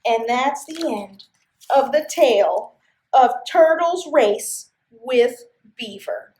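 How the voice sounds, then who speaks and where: A woman reading a story to young children in an expressive, sing-song way, often putting questions to her listeners. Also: high-pitched, slow, echoey room. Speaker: library story time host and reader.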